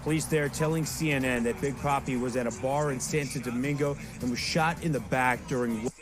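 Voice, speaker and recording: A male TV anchor reads breaking news in a brisk, formal broadcast style. A loud music bed plays under his voice. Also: medium pitch, fairly even intonation.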